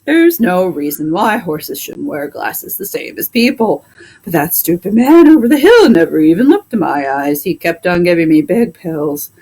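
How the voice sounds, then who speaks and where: A woman voicing a plain-spoken, indignant male plow horse with drawn-out, emphatic complaints in a storybook. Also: fairly low pitch, very animated, darkish tone, breathy edge, heavily acted, low-quality recording.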